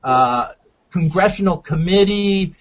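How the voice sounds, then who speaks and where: Male radio interview host, slightly high voice, measured and deliberate delivery, dull lo-fi recording. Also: expressive. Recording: dull lo-fi sound.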